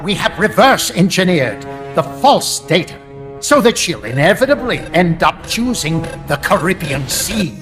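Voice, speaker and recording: An actor playing a zealous, calculating middle-aged American intelligence chief, delivering grandiose, emphatic lines in a dramatic video game. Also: male voice, high voice, big pitch swings, measured pace, music in the background.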